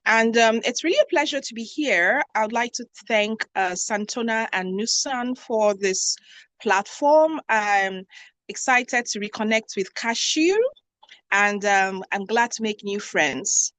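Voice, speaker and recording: A woman's voice: on the high side, unhurried, a bit bright, no room sound. A woman with a Nigerian accent introducing herself as a guest on an online panel. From southwest Nigeria. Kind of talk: self-introduction at a virtual talk. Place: online video call.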